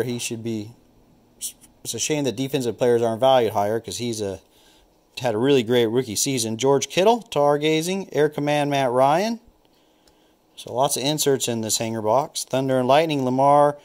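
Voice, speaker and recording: A man talks casually while sorting through freshly opened trading card packs, calling out names and musing on their worth. His voice sits at an ordinary middle pitch, loose and unhurried. It sounds like a home camera mic picking him up at a tabletop. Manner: relaxed, thinking aloud, naming cards as he flips. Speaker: card collector opening packs. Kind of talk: casual unboxing commentary.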